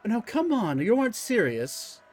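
A man's voice: sits high, huge pitch swings, a little slow, darkish tone, voice pushed up, full character voice. A man voicing a brawny, boastful male arm-wrestling champion who gloats and sulks when he loses. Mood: grumbling. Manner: gloating, sore loser.

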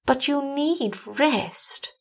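A man's voice: very high-pitched, drawn-out words, creaky, pitched above the performer's own voice, exaggerated voice. A woman voicing a troubled, earnest younger male doctor in a gothic story, formal and nervously solicitous. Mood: nervous reassurance.

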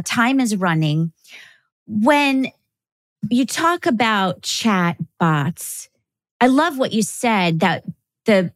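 A woman hosting a podcast interview, measured and affirming, with thoughtful pauses. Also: lowish voice, no echo. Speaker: host.

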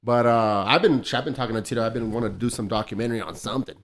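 A man's voice: medium pitch, very fast. A man in his forties hosting a loose casual chat among friends, swearing and laughing often. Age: 40s.

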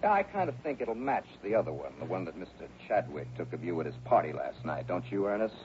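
Casting: a hard-boiled American detective, brisk and wry, big swings in pitch, muffled vintage broadcast. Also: male voice, big pitch swings, a little mellow, slight background noise. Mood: sardonic. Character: wry, confident male private investigator narrating his case. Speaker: lead actor and narrator in a radio detective drama. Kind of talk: 1960s radio detective drama acting. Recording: dull vintage radio recording with music.

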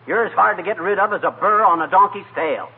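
An actor playing a weary, stubborn, folksy husband who grumbles and bristles in a 1940s radio comedy. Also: male voice, sits high, a little fast. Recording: scratchy, noisy, narrow-band 1940s radio.

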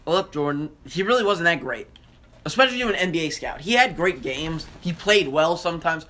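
A man's voice: on the high side, lively intonation, brisk, bright, forceful tone. An American man co-hosting a sports podcast, arguing his points emphatically and repeating phrases to drive them home in casual debate.

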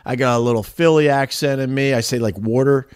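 A man's voice: mid-range voice, brisk, smooth, no echo, clean studio sound. A man with an American accent and a slightly nasal voice, hosting a casual, joking chat. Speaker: host, interviewer.

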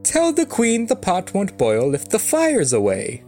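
A man's voice: sits high, huge pitch swings, a little slow, warm tone, soft background music, voice pushed up, exaggerated voice. A man voicing an old village wise-woman in a storybook tale, cryptic and commanding in a folksy way. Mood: cryptic warning. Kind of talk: storybook fairy-tale character voice.

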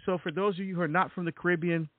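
A man's voice: sits high, fairly even intonation, rapid-fire, dry recording. A middle-aged man from Barbados, a deacon and author, telling his story as an interview guest with many fillers and repeats. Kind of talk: casual interview. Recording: tinny phone-like audio, dry.

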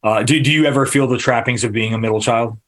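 A man hosting a podcast interview, asking long, halting questions full of restarts and fillers. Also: medium pitch, steady tone, a bit bright. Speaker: podcast host. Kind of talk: casual interview.

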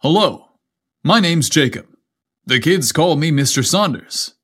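A man's voice: on the high side, lively intonation, unhurried, a bit bright, breathy edge, full character voice. Man playing a composed, courteous male schoolteacher. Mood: polite, composed. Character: polite, composed male schoolteacher, stern yet compassionate.

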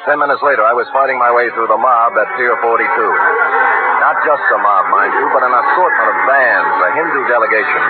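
A sardonic private eye played by a man narrates in a dry, wisecracking way. The sound is thin and phone-like, from an old radio broadcast. Loud hiss sits underneath. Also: mid-range voice, close to an everyday voice. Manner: wry, sardonic. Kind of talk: 1940s radio acting.

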